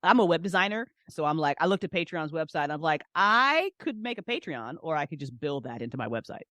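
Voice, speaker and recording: A woman's voice: low voice, sing-song, fast, bright, forceful tone, no room sound, clean studio sound. A woman chatting casually as an interview guest, effusive and emphatic, with slangy, repetitive turns of phrase. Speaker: guest, fiction podcast writer and creator. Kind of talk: animated casual interview chat.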